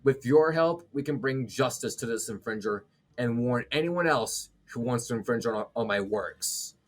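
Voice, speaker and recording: A man's voice: mid-range voice, lively intonation, moderate pace. Young man hosting a casual podcast. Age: young adult. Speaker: podcast host.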